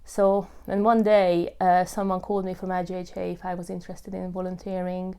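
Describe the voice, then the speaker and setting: Woman, thirties, lowish smooth voice, earnest testimony, leans on "you know" and "uh". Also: little pitch movement, brisk, mellow. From Hungary. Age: 30s. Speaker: tenant telling her story. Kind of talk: personal testimony.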